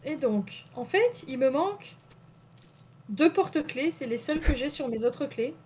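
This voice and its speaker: A woman's voice: high voice, lively intonation, a little slow, a little mellow, a little hiss or hum. Chatty young woman, rambling and hesitant. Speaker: stream co-host. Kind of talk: casual chat.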